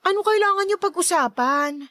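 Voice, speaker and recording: A woman's voice: expressive, brisk, bright, forceful tone, no room sound, studio quality, heavily acted. A woman playing a spiteful, jealous shopkeeper in a Filipino radio drama, bickering and two-faced, with a Filipino accent. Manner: bickering, shrill, fake-sweet.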